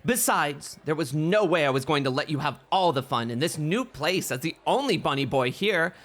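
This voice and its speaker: Male actor as bubbly, teasing bunny club host for ASMR roleplay; high-pitched, very animated delivery. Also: normal speed. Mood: curious, playful. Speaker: guest voice actor in an audio roleplay.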